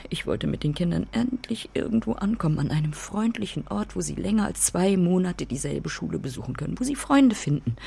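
Husky, blunt, quick-tempered mother. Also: sits low, dark tone, full character voice. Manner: blunt, indignant, emphatic. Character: quick-tempered, worried working mother, a fairground showwoman. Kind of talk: naturalistic German radio drama acting.

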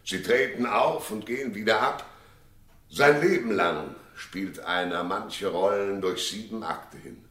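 A slow, grandiose theatre declaimer. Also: male voice, lowish voice, a bit bright, echoey room. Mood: grand, declamatory. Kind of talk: stage declamation in radio drama.

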